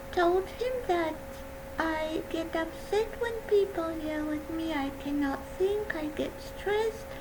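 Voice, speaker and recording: A woman with a soft voice giving a gentle, earnest personal talk on mental health. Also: very high voice. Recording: steady background hum.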